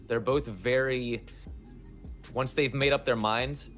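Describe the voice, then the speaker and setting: A man speaking casually as an interview guest, telling stories in an animated, self-deprecating way. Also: mid-range voice, takes it slowly, soft background music. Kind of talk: casual chat.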